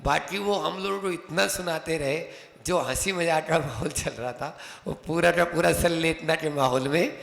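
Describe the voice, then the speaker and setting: A man giving a sermon as a monk, telling anecdotes and slowly chanting verses. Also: fairly high pitch, vocal fry, a bit bright. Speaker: Jain monk lecturer. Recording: echoey hall reverb.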